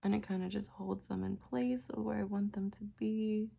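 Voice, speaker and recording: A young woman with a raspy, congested voice from a sinus infection, chatting casually through a quick makeup tutorial with lots of fillers. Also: mid-range voice, steady tone, dark tone. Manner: chatty, rambling with many fillers. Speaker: beauty vlogger.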